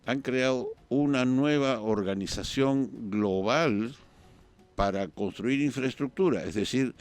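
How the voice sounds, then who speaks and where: Un periodista peruano, invitado en un programa de debate político, que habla pausado y piensa en voz alta entre muletillas. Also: male voice, mid-range voice, a little mellow, soft background music. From Peru. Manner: measured, thinking aloud with pauses and fillers. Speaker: journalist guest. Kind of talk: political talk-show discussion.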